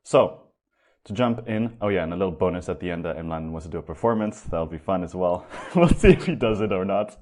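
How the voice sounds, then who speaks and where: A young man hosts a podcast in a casual, upbeat way, loose with his words and now and then swearing. His voice sits very low. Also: expressive, a little fast, darkish tone. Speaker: podcast host.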